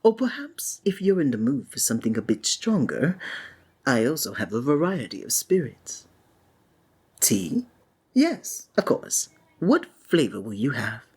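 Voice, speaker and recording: A woman playing a flamboyant, courtly and sinister stage magician in a theatrical ASMR roleplay, with flowery, drawn-out, flattering phrasing. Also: a bass voice, breathy edge, exaggerated voice. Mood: gracious, confiding.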